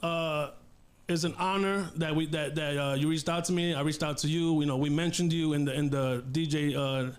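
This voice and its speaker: A man in his forties from the Bronx with a New York accent, hosting a casual interview in an excited, restless way. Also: fairly high pitch, normal speed. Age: 40s. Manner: animated, excitable, frequent restarts. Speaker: host.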